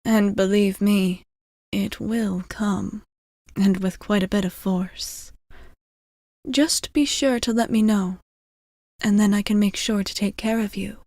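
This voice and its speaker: A gentle female vampire voiced by a woman murmurs to a single listener in an intimate ASMR roleplay. Her delivery is very measured and soothing, with soft phrases that often fall into whispers. The sound is close and dry, with little trace of room. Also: medium pitch, fairly even intonation, no echo. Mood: caring, reassuring. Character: gentle, caring centuries-old female vampire bartender. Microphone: close microphone, very dry.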